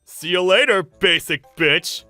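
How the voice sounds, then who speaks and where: An arrogant young husband in a manga dub, acted by a woman, full of scorn and whining. The voice is bright and forceful and swings widely in pitch. It sounds close and dry, with music underneath. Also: animated, measured pace, no room sound, full character voice. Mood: contemptuous. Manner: scornful, whiny, exaggerated. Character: arrogant, whiny, cheating young husband obsessed with fancy food.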